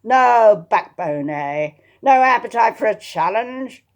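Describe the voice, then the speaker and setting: A woman's voice: on the high side, lively intonation, slow, voice pushed up. A woman voicing an imperious, scornful elderly aristocratic matriarch who barks in a domineering, contemptuous way, in a dramatised historical novel. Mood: taunting.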